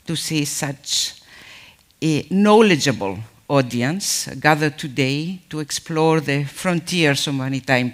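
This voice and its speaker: A man delivers a formal welcome address to a conference audience at an unhurried pace. His voice sits a little high for a man, and his speech is measured, with frequent pauses and fillers between phrases. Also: a bit bright. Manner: measured, hesitant with many fillers. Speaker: conference speaker.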